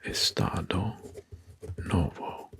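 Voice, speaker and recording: A man narrating history in an ASMR style, in a breathy, husky whisper, slowly and deliberately in short phrases. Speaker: ASMR video host. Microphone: close microphone.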